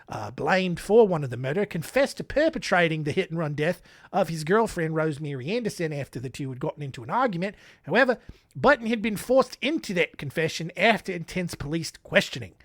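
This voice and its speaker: A man's voice: on the high side, no echo, clean studio sound. A man telling crime stories for laughs in a shaky put-on Australian accent, rambling into self-mocking asides. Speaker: storyteller. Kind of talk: comedic true-crime retelling.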